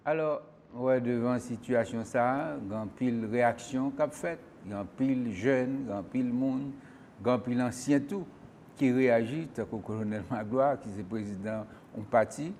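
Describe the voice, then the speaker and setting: A man's voice: mid-range voice, measured pace, darkish tone, a little hiss or hum. Questioning male talk show host. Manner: questioning, presses the guest. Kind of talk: call-in political talk show.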